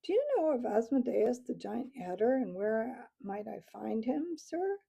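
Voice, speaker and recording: Young male mouse hero, earnest and stubborn, a woman reading it; husky, slightly gravelly. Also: a little high, sing-song, measured pace, pitched above the performer's own voice, exaggerated voice. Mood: polite. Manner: earnest, defiant, shouting challenges.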